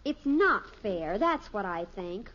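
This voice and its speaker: An actress playing an indignant, sarcastic teenage daughter in a 1950s radio sitcom, always complaining. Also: female voice, medium pitch, sing-song, slow, fairly bright tone. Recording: muffled, faintly noisy vintage radio recording.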